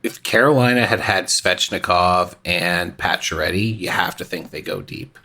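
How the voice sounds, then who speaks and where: A man's voice: deep voice, normal speed, bright, forceful tone. A man from Nova Scotia chatting casually about hockey as a podcast co-host. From Nova Scotia, Canada. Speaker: podcast co-host and quiz contestant. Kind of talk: casual sports chat.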